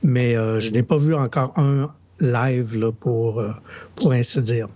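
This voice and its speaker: A man with a Québécois French accent co-hosting a casual podcast, hesitant and quick to laugh. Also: medium pitch, steady tone, mellow. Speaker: podcast co-host.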